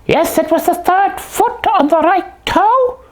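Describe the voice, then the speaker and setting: A woman's voice: extremely high pitch, takes it slowly. A woman voicing a proud, fussy puppet detective in a comic puppet show, bossy and self-important.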